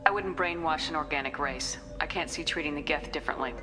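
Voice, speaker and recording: A woman's voice: sits low, fast, music in the background, natural voice. A woman playing a resolute military commander in a video game, direct, controlled and businesslike. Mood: firm, businesslike. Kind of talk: video game character acting.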